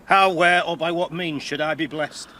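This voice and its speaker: A man's voice: a little high, fairly even intonation, moderate pace, pushed and bright. A male actor with a British accent playing a grief-maddened, vengeful older Spanish father in a classical radio drama, theatrical and volatile, with faint background noise. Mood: bitter, erratic.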